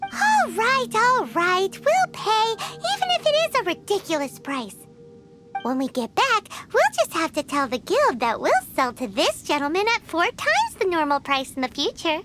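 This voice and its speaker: A woman voicing a small, childlike, excitable floating companion in a video game. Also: very high-pitched, normal speed. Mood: indignant. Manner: refers to self in third person, exclamatory. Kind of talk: video game character acting. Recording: studio voice under game music.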